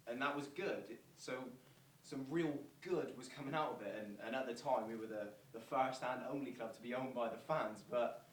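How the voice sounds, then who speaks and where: A man's voice: medium pitch, moderate pace, natural voice. Earnest fan recounting memories, verbatim theatre, played by a man. Distant mic, faint noise. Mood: reflective. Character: earnest football fans recalling a celebrity visit, verbatim theatre. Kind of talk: verbatim monologue performed on stage. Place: theatre performance space, distant microphone. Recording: roomy stage recording from afar, faint noise.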